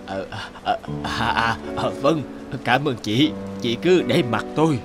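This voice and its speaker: Man acting gentle elderly father, music behind. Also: medium pitch, a little slow, lightly acted. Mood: humble, calm. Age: older. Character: kind, devoted elderly widowed father, humble countryman. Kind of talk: animated cartoon dialogue.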